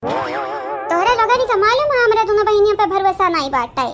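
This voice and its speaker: An actor with an Awadhi accent voicing a chattering, dramatic village woman in a comic cartoon, in a childlike squeaky voice. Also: animated, normal speed, music in the background. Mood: animated banter.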